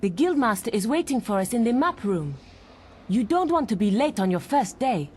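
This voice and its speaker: A woman voicing a cocky young girl training as a hero in a video game, brisk and teasing. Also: fairly high pitch, very animated, a little mellow, slight background noise. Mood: cocky, friendly. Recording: music behind the voice.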